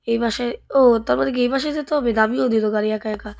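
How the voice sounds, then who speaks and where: A Bangladeshi woman hosts casual gameplay commentary in a high voice with a crackly edge. She talks chattily, thinking aloud and tossing out questions as she plays along with the roleplay. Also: steady tone, brisk, gravelly, a little mellow. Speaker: gaming video host.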